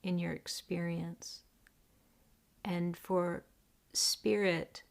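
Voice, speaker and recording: A woman leading a guided meditation in a deliberate, gentle, hushed manner with long pauses. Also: lowish voice, flat delivery, creaky. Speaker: meditation teacher.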